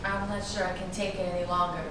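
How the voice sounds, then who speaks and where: A woman's voice: mid-range voice, level tone, quick pace, natural voice. An actress playing an anxious, worn-down woman afraid of her volatile lover in a staged play reading. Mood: upset, fearful. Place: echoey indoor room at a staged reading. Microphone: distant microphone. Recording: distant echoey room, clearly noisy.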